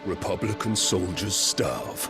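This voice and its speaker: A man's voice: low voice, sing-song, unhurried, over music, full character voice. A man playing a proud, scornful warrior lord in a game cutscene, speaking bluntly with grave command. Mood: scornful, grim. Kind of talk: dramatic video game cutscene acting.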